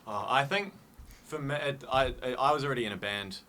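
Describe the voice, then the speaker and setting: A young man with a New Zealand accent, a band leader chatting casually on radio, relaxed and laughing easily. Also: medium pitch, brisk, slight background noise. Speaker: band leader, guest musician. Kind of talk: casual interview chat. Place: radio studio.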